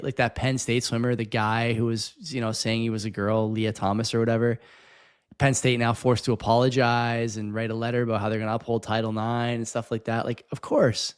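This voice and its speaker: Man, mid-pitched, casual podcast talk. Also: clean tone. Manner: rambling, opinionated, frequent "you know". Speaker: podcast co-host. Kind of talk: casual conversational commentary.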